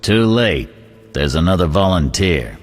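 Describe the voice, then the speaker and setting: A gruff, commanding prison guard captain in a fantasy game. His voice is very deep and swings in a lively way as he hands out scornful orders. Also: unhurried, soft background music. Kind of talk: video game voice acting.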